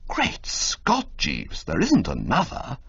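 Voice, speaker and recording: A man voicing a chatty, flustered young gentleman who talks in a breezy, fumbling way. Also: a little high, huge pitch swings, moderate pace, slightly rough, voice pushed up, heavily acted. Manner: breezy, fumbling, full of uhs. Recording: slightly muffled lo-fi audio.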